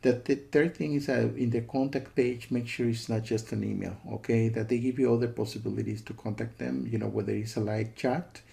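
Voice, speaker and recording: A man's voice: medium pitch, steady tone, a little fast, creaky, hardly any pauses, dark tone. A man giving a casual, disapproving spoken review, repeating himself and often checking in with "okay" and "you know.". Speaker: software reviewer.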